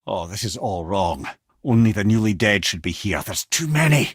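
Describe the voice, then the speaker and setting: A clever old Scottish storyteller, the talking head of a wise man in a fantasy game. The delivery is theatrical and folksy, with wry humor and pitch that swings widely. The sound is dry, with no room around the voice. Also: big pitch swings, normal speed, breathy edge, no echo. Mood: alarmed. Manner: wry, theatrical, folksy, addresses listeners as brother and lad. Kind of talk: expressive video game character acting, storytelling and banter.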